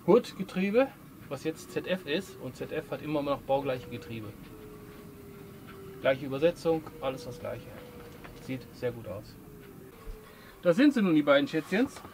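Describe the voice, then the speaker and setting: A man's voice: on the high side, a little mellow, a little hiss or hum. A man casually explaining the parts he is working on while doing repairs inside a sailboat. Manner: chatty, explains while working with his hands. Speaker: boat owner doing refit work. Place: cramped cabin of a sailboat.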